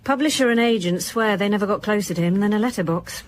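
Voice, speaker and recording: A woman's voice: mid-range voice, fast, close to an everyday voice. A woman acting a dry, sceptical detective chief inspector with a clipped, questioning manner in a radio drama. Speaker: actor playing police detective. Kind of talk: naturalistic radio drama dialogue.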